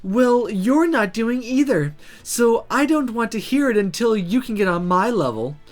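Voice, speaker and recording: Woman doing a high, brash young student mage. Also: lively intonation, a little slow, a little mellow. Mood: teasing. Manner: teasing, pushy, brash. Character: excitable, bragging young female magic student.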